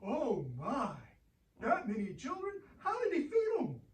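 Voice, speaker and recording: Someone performing a childlike puppet pal for a kids' show: slow, naive, playful, heard at a distance in a roomy space. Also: sits high, huge pitch swings, slight background noise, full character voice. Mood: naive wonder. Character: Blue, friendly childlike puppet sidekick who loves singing. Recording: roomy echo, distant from mic.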